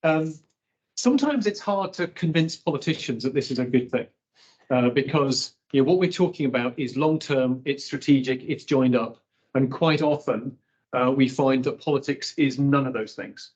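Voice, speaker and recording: A man's voice: medium pitch, normal speed, darkish tone, breathy edge, highs cut off. Man mulling points aloud on a panel. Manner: thoughtful, repeats words while building points. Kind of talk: panel discussion answer.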